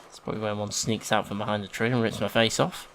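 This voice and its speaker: A man's voice: on the low side, steady tone, quick pace, a bit bright, a little hiss or hum. An English man with a sniffly hay-fever nose gives casual, chatty, self-deprecating let's play commentary as a gaming host. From England.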